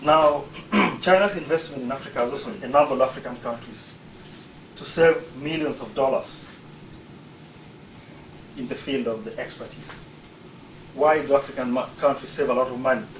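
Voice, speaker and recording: A man from Uganda lays out his argument step by step in a prepared talk. His voice has a middling pitch and is picked up from some way off the mic. The room adds a noticeable echo, and there is a faint hum of ambience behind him. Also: normal speed, pauses often, distant mic. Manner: explanatory, lists points one by one. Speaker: speaker.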